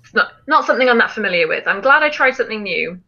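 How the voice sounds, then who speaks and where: A woman's voice: a little high, lively intonation, rapid-fire, pushed and bright, highs cut off. A woman hosting a casual live stream, chatting and explaining things in an informal way. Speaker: film challenge live stream host.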